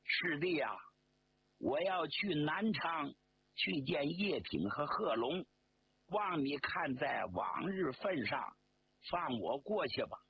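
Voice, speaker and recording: A proud army commander, acted out by a male storyteller, emotional and theatrical. His voice rides high and swings widely through drawn-out appeals. The sound is dull and lo-fi. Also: sing-song, exaggerated voice. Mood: pleading. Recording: dull lo-fi sound, faint noise.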